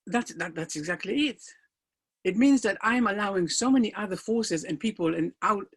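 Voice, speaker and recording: A man's voice: sits high, gravelly, breathy edge. A middle-aged man, a life coach whose first language is not English, telling his personal story in an earnest, motivational talk with emphatic rises.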